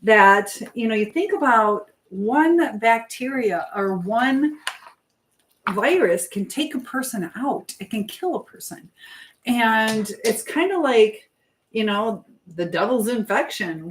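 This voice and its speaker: A middle-aged woman hosting a casual livestream, rambling and thinking aloud as she answers viewer comments. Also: mid-range voice. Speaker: livestream host. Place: at home while preparing food. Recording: clearly noisy livestream with clicks.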